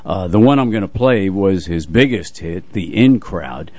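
Older man reminiscing, low-pitched voice. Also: animated, moderate pace, darkish tone, no room sound. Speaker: radio show co-host and music presenter. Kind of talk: casual reminiscing on a radio talk show.

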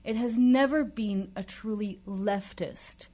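Israeli woman guest with an accent, explaining stuff carefully and firmly, medium pitch. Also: slow pace, mellow. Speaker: interview guest. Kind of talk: explanatory political discussion.

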